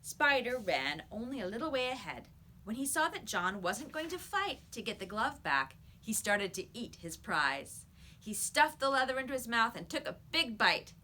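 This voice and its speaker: A woman's voice: a little high, very animated, normal speed, pushed and bright, slight background noise. A woman reading a children's story aloud like a teacher, with emphatic, dramatic storytelling.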